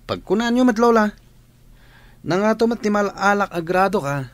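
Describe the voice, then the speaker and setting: A man's voice: sits high, animated, normal speed. A man playing a cocky, lazy student in a radio drama, talking in a flippant, boastful, pleading way. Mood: cocky, assuring. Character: cocky, lazy male student, breezily self-assured. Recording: clear background noise.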